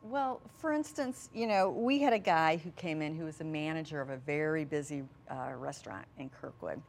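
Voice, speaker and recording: A woman's voice: low-pitched, moderate pace, gravelly. A mature woman speaking as an interview guest, conversational and anecdotal, repeating phrases for emphasis. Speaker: interview guest, small business representative.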